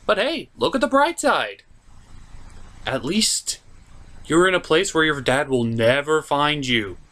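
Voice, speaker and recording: This is a comedic film reviewer hosting a recap. The delivery is very animated and sarcastic, with pitch that swings widely from line to line. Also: measured pace. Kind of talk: comedic movie recap commentary.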